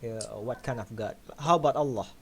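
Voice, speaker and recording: A man's voice: mid-range voice, a little fast. A man from Indonesia with an Indonesian accent, pressing strangers with repeated questions on a casual video chat. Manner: persistent, repeats his questions. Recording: loud background music.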